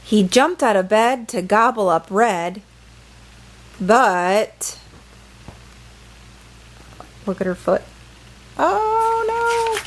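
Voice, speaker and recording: Slow-paced woman reading a children's story. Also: a little high, big pitch swings, slightly rough, rough recording. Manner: playful, dramatic. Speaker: storyteller reading a picture book aloud. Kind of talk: expressive children's read-aloud with brief asides.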